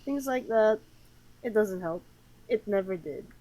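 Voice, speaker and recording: Expressive woman streaming a game. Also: medium pitch, a little slow, rough recording. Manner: expressive, sarcastic reactions. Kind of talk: playthrough commentary, reading game text aloud.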